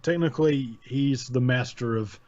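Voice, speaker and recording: A man's voice: medium pitch, moderate pace, a little mellow, lo-fi sound. A man hosting a casual chat about horror stories, rambling, repeating his words and laughing often. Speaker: channel host.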